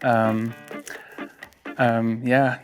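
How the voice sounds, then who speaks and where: A man with a Liverpool accent, speaking slowly and hesitantly. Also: mid-range voice, level tone, faint music behind.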